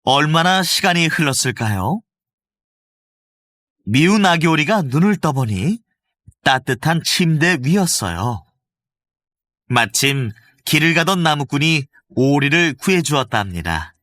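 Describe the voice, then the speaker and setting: A male voice actor reads a children's fairy tale in a gentle, expressive storytelling manner. His voice is bright and fairly high for a man, with a lively, swooping melody. The close studio recording is dry. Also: unhurried, no echo. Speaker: voice actor narrator.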